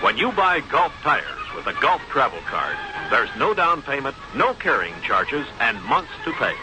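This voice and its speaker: A man reading a scripted sales pitch as a 1960s radio commercial announcer. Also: big pitch swings, normal speed, poor audio. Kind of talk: 1960s radio advertisement read. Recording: vintage radio commercial over music. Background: loud music.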